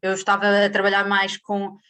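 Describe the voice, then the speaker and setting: A woman's voice: mid-range voice, completely flat delivery, moderate pace, pushed and bright, clean tone. A young woman with a European Portuguese accent, speaking as a volunteer guest in an online panel, fluent and slipping in English terms. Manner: fluent, mixes in English terms, occasional laughs. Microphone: video call.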